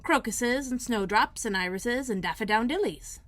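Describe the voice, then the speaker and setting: A woman reading aloud and voicing a gruff old male gardener who speaks bluntly. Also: a little high, expressive, moderate pace, a bit bright, no echo.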